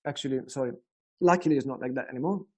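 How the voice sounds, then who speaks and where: A man's voice: medium pitch, very fast, breathy edge. A young man from Italy with an Italian accent, teaching guitar in a casual spoken lesson, hesitant with lots of fillers and restarts.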